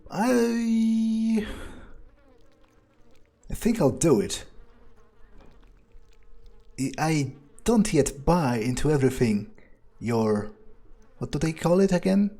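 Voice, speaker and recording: A man voicing a wry, cocky fledgling vampire in an improvised game role-play, speaking informally with musing pauses. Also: a little high, gravelly, dark tone, full character voice. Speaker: gamer role-playing as his character. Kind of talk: improvised in-character game playthrough.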